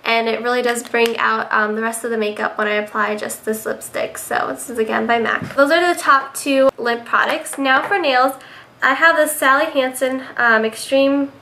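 A young woman with a stuffy, nasal voice, sick but cheerful enough to chat through her favorite products. Her tone is bright and sits a little high, full of casual ums. She is recorded on a webcam in her bedroom. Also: steady tone, normal speed, slight background noise. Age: young adult. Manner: chatty, many ums. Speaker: beauty vlogger. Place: bedroom indoors.

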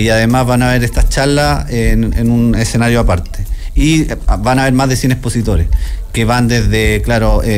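A man's voice: medium pitch, darkish tone. A man in his thirties with a Chilean accent, explaining an event as a studio guest. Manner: explanatory, hesitant with fillers and restarts. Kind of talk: radio interview. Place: small radio studio. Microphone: studio mic with headphones.

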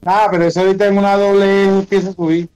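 A man's voice: high-pitched, little pitch movement, racing, darkish tone, clean tone, no echo, dull audio, faint music behind. A Venezuelan man chatting casually with other players during an online game, talking in crude, foul-mouthed slang. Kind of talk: casual gaming voice chat with slang.

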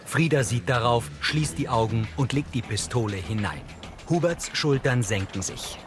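A man's voice: medium pitch, expressive, normal speed, music in the background. A man reading scripted audio description narration for a TV drama.

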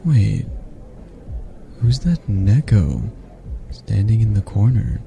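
Guy playing a teasing half-dragon college dude, flirty asmr roleplay, low voice n kinda gravelly. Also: dark tone, a little hiss or hum, heavily acted. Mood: annoyed, sardonic. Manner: teasing, sardonic, unhurried. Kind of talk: intimate ASMR roleplay acting.

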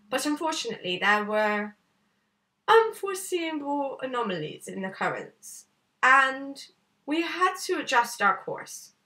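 A woman's voice: a little high, sharp tone, heavily acted. A woman voicing a cheerful, evasive male bear boatman from a storybook, waffling and politely reassuring. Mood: apologetic, waffling. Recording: slightly distant camera microphone.

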